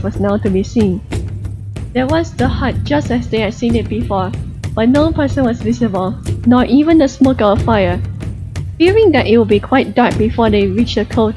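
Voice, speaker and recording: A woman reading a storybook aloud as a scripted narration. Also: fairly high pitch. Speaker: audiobook narrator. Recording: dull lo-fi recording, music behind. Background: background music.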